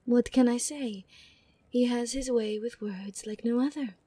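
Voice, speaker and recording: A gentle, prim goddess acted by a woman in an ASMR roleplay. Her voice is fairly high, mellow and breathy, with a polite, slightly chiding manner. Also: unhurried. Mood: pleased. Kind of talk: ASMR roleplay audio drama.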